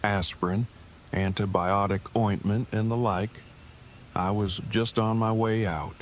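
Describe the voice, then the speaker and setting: A man's voice: on the low side, fairly even intonation, a little slow, a little hiss or hum, lightly acted. A man playing an earnest, awkward, brainy engineer who talks in verbose, stilted sentences in an audio drama. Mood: stiff, measured. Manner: verbose, stilted, formal phrasing. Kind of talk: fan audio drama dialogue.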